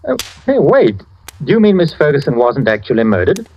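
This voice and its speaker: A wry, animated skeptic. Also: male voice, mid-range voice, a little fast. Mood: wry, uneasy. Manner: breezy, skeptical. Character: incredulous, wry male writer friend. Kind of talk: old-time radio drama acting. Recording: dull, noisy old radio recording.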